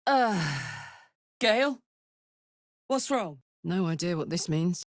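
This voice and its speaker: A woman's voice: on the low side, expressive, slow, no room sound. A woman voicing a brash, fiery horned warrior woman in a video game, talking bluntly and informally like a soldier, recorded dry. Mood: warm, concerned. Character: brash, fiery female devil-horned warrior with an infernal engine heart. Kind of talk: video game character voice lines.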